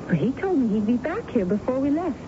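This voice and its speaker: A woman's voice: high voice, very animated, fast talker. An actress playing a sweet, earnest young woman, a daughter on holiday, in a 1970s American radio drama. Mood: wistful, curious. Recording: dull, noisy 1970s radio broadcast.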